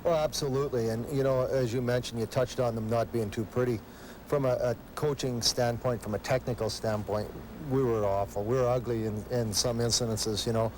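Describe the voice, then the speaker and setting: Hockey coach guy being interviewed, matter-of-fact, normal pitch, some noise in back. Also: steady tone, moderate pace, darkish tone, poor audio. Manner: plain-spoken, matter-of-fact. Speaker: ice hockey head coach. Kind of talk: conversational interview answers.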